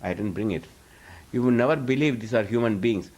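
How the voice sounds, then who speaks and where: A man's voice: medium pitch, a little fast, a little mellow. A man who is a spiritual teacher, answering audience questions in an informal lecture. He rambles, restarts his sentences often and chuckles as he talks. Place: live talk before an audience.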